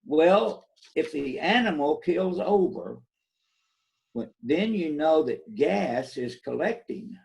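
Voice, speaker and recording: A man's voice: on the high side, animated, takes it slowly, vocal fry, a little mellow, exaggerated voice, low-quality recording. A man playing a plainspoken, folksy small-town lawman in an amateur readers theater mystery. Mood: folksy, skeptical. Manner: plainspoken, countrified turns of phrase.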